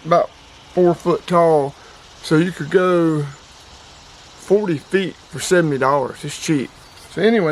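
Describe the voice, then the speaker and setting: A man giving a casual, plainspoken vlog demonstration outdoors, with emphatic repetition. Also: fairly high pitch. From North Carolina, US. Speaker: outdoors and radio hobby vlogger. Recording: clear background ambient noise.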